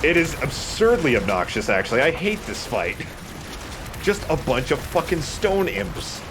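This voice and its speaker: Animated, wry man commentating on a game. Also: mid-range voice, normal speed, noisy background. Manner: sweary, wry. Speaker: let's play co-commentator. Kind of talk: casual joking game commentary.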